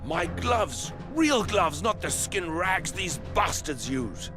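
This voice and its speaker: Wry, animated fantasy warrior. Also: male voice, high voice, takes it slowly, music in the background, full character voice. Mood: relieved grumbling. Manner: wry, expressive, grumbling. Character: wry, grumbling male warrior, loyal comrade in arms. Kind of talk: video game fantasy voice acting.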